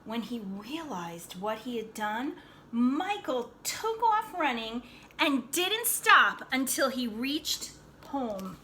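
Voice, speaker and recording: A woman reading a children's picture book aloud in an animated, dramatic way, pausing to explain things to young listeners like a teacher. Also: on the high side, a little hiss or hum. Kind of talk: expressive read-aloud storytelling with asides.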